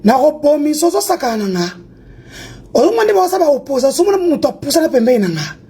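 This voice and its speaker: A woman from Congo speaks in a high, pointed voice. She is heated and confrontational, taunting her target as she mixes in a second language. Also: big pitch swings, a little fast, dark tone. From Congo (DRC). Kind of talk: heated online rant.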